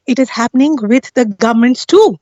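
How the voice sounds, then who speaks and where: High-voiced woman, dull lo-fi audio. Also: animated, brisk, warm tone. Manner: insistent, often seeks agreement with tag questions. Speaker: guest on a live talk stream. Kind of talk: casual explanatory discussion.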